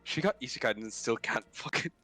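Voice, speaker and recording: A man's voice: mid-range voice, lively intonation, brisk, sharp tone, no room sound, faint music behind. A man on a group game stream, bantering and joking casually. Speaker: streamer in a group read-along.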